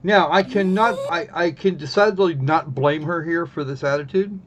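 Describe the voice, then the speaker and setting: A man's voice: fairly high pitch, normal speed. A man livestreaming a visual novel, giving casual live commentary into a slightly dull, noisy microphone.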